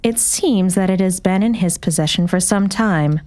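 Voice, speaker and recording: A woman playing a cool, composed doctor in an audiobook drama, speaking smoothly in a formal, measured, controlled way. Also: medium pitch, a little mellow, lightly acted. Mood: measured, guarded. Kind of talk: audiobook character acting.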